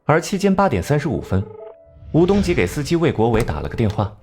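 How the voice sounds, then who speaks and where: An actor playing a cool, sardonic genius detective in an animated crime drama, speaking in a measured, analytical way. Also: male voice, medium pitch, close to an everyday voice. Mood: coolly explaining. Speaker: detective laying out a case. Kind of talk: animated crime drama acting, deductive exposition. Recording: music behind.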